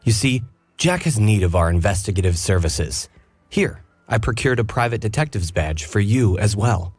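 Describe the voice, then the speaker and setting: A refined detective speaks in a measured, courteous way with a light touch. His voice sits low but moves lively through each phrase. Soft music plays under him. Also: breathy edge. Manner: polite, measured, inquisitive. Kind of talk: hidden-object game cutscene.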